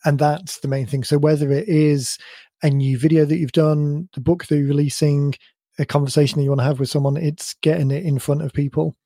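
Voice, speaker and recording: A man co-hosting a podcast in casual chat, his voice a bit croaky, thinking aloud in long rambling sentences. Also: on the high side, level tone, brisk, mellow, no echo, clean studio sound. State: slightly croaky voice. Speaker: podcast co-host.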